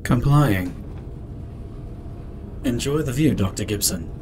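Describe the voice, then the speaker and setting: A man's voice: lively intonation, slow pace. Casting: male actor as impassive ship's computer, male, formal and compliant, clipped replies, sci-fi audio drama, noisy ambience. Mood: obedient, neutral. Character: obedient, formal male AI computer of a space base. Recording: clear rumbling background noise.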